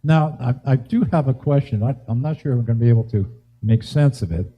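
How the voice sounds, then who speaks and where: A man hosting a live music show, chatting casually and haltingly as he interviews guests, with chuckles between phrases. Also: mid-range voice, husky, highs cut off.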